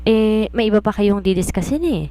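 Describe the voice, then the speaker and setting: A woman storyteller voicing a breezy, secretive young female novelist who poses as a maid, with a casual, brisk, evasive manner. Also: medium pitch, mellow, natural voice.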